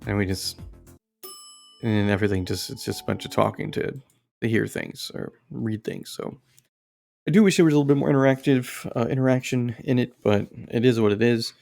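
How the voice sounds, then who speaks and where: A man hosting casual, unscripted game commentary, halting and rambling as he searches for words. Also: medium pitch, vocal fry, darkish tone, faint music behind. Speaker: host of an indie game review channel.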